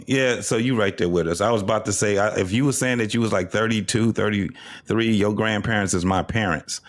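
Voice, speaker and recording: A man's voice: on the low side, fairly quick. Emphatic man in his fifties, debating casually. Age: 50s. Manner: emphatic, repeats points, explains at length. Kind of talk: heated casual panel discussion.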